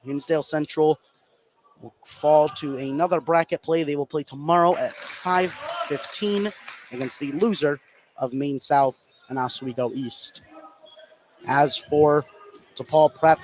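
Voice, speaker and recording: A man's voice: a little high, low-quality recording. An American man giving live play-by-play radio commentary on a basketball game. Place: indoor high school gym during a game. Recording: clear background gym noise.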